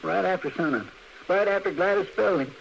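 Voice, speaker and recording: An actor with a rural western American drawl playing an earnest, fretful frontier sidekick in an old radio western, folksy and plainspoken. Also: male voice, high-pitched, a little fast, gravelly, muffled recording. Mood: alarmed, indignant. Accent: rural American West drawl. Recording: faintly noisy vintage radio recording.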